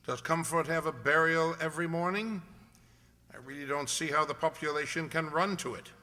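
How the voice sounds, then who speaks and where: A man playing a brisk, inquisitive gentleman detective in a radio mystery play, speaking precisely and formally. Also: fairly high pitch. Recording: reverberant hall-like sound.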